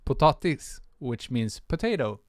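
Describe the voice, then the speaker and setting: A man's voice: mid-range voice, big pitch swings, a little slow, no echo, studio quality. A man with a Swedish accent, chatting loosely with viewers on a music livestream, full of fillers and restarts. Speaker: music producer streamer. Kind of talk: casual livestream chat.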